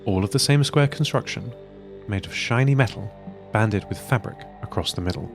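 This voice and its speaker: A man with a low, gravelly voice tells the story in a calm, measured way, reading a scripted narration. Faint music runs softly beneath his words. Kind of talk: scripted narration of an audio drama.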